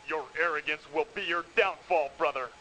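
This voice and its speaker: An actor playing a proud, stern emperor at war in a declamatory video game cutscene style. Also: male voice, moderate pace, pushed and bright. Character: proud commanding emperor at war with his brother. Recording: noisy 1990s CD game audio, rumble.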